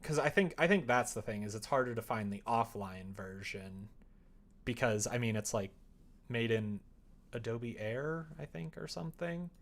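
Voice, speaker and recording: A man's voice: medium pitch, moderate pace. A man streaming live, chatting casually with viewers and rambling as he thinks aloud.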